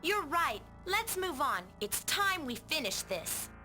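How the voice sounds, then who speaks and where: High, brash dwarf artisan. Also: female voice, sing-song, slow, over music, exaggerated voice. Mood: determined. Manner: brash, confident. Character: feisty, boastful dwarf artisan, greedy and determined. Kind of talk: video game cutscene acting.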